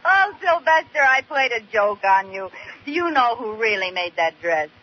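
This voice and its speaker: An actress playing a scheming, excitable American housewife, wisecracking and dramatic in 1940s radio comedy style. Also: female voice, on the high side, normal speed. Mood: teasing. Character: scheming, excitable young housewife, playful and melodramatic. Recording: muffled vintage radio recording with hiss.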